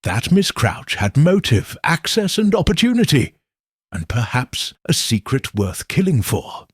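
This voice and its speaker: A man narrating an audiobook in a slow, deliberate storytelling manner. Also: medium pitch, huge pitch swings, husky, dry recording, studio quality. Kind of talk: scripted narration.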